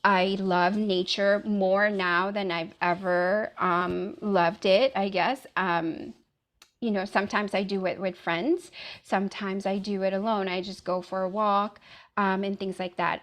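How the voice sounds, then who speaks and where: A middle-aged woman from the Philippines giving a casual presentation with slides, with lots of "um" fillers. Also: medium pitch. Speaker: wellness speaker.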